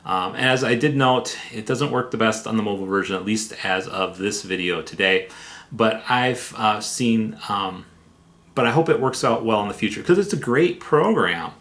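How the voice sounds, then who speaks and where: Male reviewer, lowish pitch, emphatic and enthusiastic, semi-scripted delivery with casual asides. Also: normal speed, a bit bright. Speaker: software reviewer.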